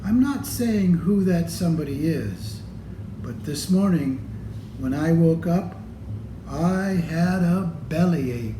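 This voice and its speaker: A man reads a picture book aloud for children. His delivery is very slow and expressive, addressed straight to young listeners. The room is echoey, with clear noise behind the voice. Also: on the high side. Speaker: storybook reader. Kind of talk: children's read-aloud storytelling.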